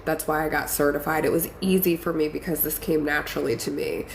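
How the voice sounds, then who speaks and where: Woman, stuffy nose, gravelly voice, affirming live-stream coach, echoey room with faint noise. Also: deep voice, steady tone, fairly quick. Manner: encouraging, affirming, chatty with fillers. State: congested from a cold. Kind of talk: casual live-stream talk reading viewer comments.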